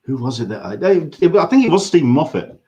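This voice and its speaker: A man's voice: on the high side, big pitch swings, a little fast, dark tone, lo-fi sound. A man with an English accent hosting a casual interview, telling anecdotes in a rambling, conversational way. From England. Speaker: host interviewer. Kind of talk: casual livestream interview chat.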